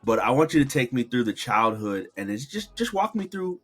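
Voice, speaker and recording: A man's voice: mid-range voice, big pitch swings, brisk, breathy edge, faint music behind. Effusive male interview host. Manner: effusive, admiring, calls guest "brother". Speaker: podcast host. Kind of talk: interview hosting.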